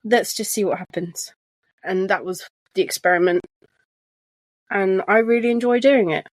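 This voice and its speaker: A soft-spoken woman co-hosting a chatty podcast from her sofa at home, talking with frequent restarts. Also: medium pitch, moderate pace, no room sound. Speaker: podcast co-host. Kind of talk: casual chat. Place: living room sofa at home. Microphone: shared desk microphone.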